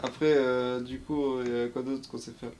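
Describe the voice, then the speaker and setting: A man's voice: mid-range voice, little pitch movement, moderate pace, darkish tone, slight background noise. A man chatting casually and playfully as co-host of a reaction stream. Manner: playful, giggly. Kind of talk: casual joking chat.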